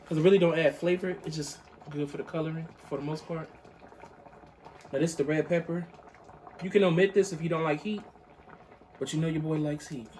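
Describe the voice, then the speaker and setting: A man's voice: fairly high pitch, fairly even intonation, a little fast, long pauses, darkish tone, a little hiss or hum. A young man hosting a cooking video in his living room, chatting casually to viewers with a groggy morning voice. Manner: laid-back, chatty, addresses viewers as y'all. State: morning voice, just woke up. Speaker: cooking channel host. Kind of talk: casual recipe demonstration. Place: living room with a pot on a hot plate.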